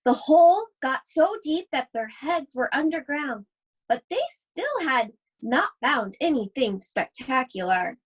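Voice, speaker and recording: A woman teacher reading a picture book aloud to children, expressive and speaking directly to her young listeners. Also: high-pitched, unhurried, dull audio. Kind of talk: children's read-aloud with chatty asides.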